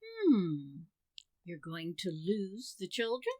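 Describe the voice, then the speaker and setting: A woman's voice: medium pitch, wildly swooping pitch, slow, dry recording, heavily acted. A woman playing a patient, dryly sarcastic housewife and mother in her thirties in an old-time radio sitcom. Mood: wry. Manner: dry, gently sarcastic.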